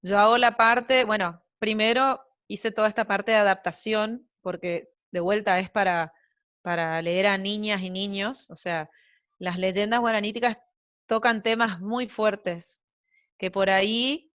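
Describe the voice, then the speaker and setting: A woman's voice: medium pitch, steady tone, measured pace, fairly bright tone, no room sound. Chatty Argentine woman, muffled dry sound. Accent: Argentine Spanish. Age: young adult to middle-aged, mother of young children. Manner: chatty, rambling with many fillers and asides. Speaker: translator guest. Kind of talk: casual interview chat.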